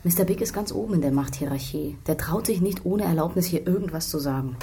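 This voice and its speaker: A calm, discreet policewoman , played by an actress in a radio crime drama. She confides in a hushed, mellow, lowish voice with faint noise around her. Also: fast talker, close to an everyday voice. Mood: hushed, confiding. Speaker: actor.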